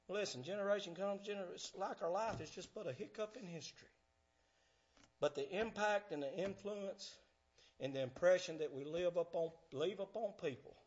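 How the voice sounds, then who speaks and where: Pastor in his fifties, high bright voice, fiery delivery that shouts then hushes. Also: highs cut off. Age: 50s. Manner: fervent, swings from shouting to hushed. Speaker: preacher. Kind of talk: sermon. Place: church.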